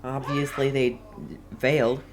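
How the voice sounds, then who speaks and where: A man giving casual game commentary in a halting, rambling way. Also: medium pitch, level tone, background noise. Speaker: gamer playing through a story quest. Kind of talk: casual game commentary, reading dialogue aloud.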